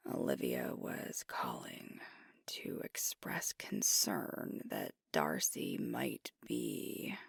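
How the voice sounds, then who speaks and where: ASMR roleplay: actress as female alpha werewolf leader, troubled and conscientious, very low hushed voice, slow and deliberate. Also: huge pitch swings, dry recording, clean studio sound, full character voice. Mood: troubled, frustrated. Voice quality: breathy, whispery. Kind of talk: ASMR roleplay monologue, whispered and intimate. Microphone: close microphone.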